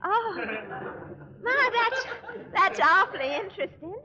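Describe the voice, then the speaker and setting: High, bright Southern belle. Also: female voice, expressive, unhurried, heavily acted. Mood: sweetly pleased. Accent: American Southern. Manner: coaxing, drawling, theatrical. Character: sweet, wheedling Southern belle playing peacemaker between suitors. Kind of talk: 1940s radio comedy acting. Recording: muffled old 1940s radio broadcast.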